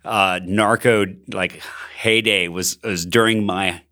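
A man who grew up in Colombia talks in a fairly low voice. He is a pastor co-hosting a podcast and speaks in an earnest, enthusiastic, conversational way. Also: fairly even intonation, takes it slowly, sharp tone. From Colombia, raised in Bogota by missionary parents.

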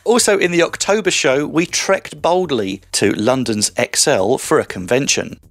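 A man's voice: medium pitch, moderate pace, dry recording. A man presenting a gadget review show in a dry, close studio sound. His delivery is breezy and teasing, with a mid-range voice. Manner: breezy, teasing banter with co-host. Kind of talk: scripted year-in-review presenting.

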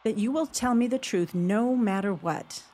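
A woman's voice: mid-range voice, normal speed, mellow, lightly acted. An actress playing an emotional, earnest woman talking with a close friend in a radio play. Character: woman friend, emotional, sports-loving, turning sweetheart.

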